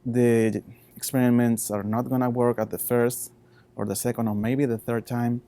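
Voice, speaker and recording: A man in his thirties with a Chilean Spanish accent, a researcher talking informally about his work in halting non-native English. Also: medium pitch, flat delivery, dark tone. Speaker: postdoctoral researcher.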